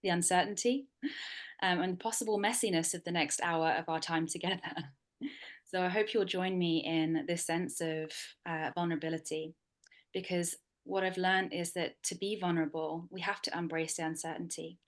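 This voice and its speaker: Soft-spoken woman moderating an online panel. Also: on the low side, steady tone. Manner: soft, measured, with frequent ums. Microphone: video call.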